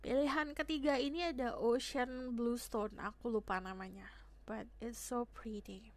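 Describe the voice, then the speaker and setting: A young woman with a hoarse voice, chatting casually as she gives a tarot reading to her viewers. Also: a little high, steady tone, a little slow. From Indonesia. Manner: chatty, switches between Indonesian and English phrases. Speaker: tarot reader.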